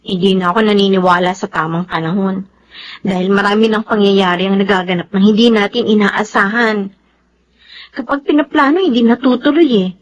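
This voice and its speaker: An actress playing a plain-spoken, concerned young woman in a radio drama, conversational and gently chiding. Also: medium pitch, fairly quick, natural voice. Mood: doubtful. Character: worried, plain-spoken younger female companion.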